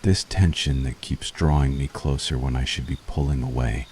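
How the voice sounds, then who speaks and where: Guy doing a super deep dark-voiced witch hunter, brooding n torn, slow intense asmr roleplay, some noise behind him. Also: fairly even intonation. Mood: torn, confessional. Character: brooding, conflicted male witch hunter in love. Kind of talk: ASMR roleplay, scripted monologue to listener.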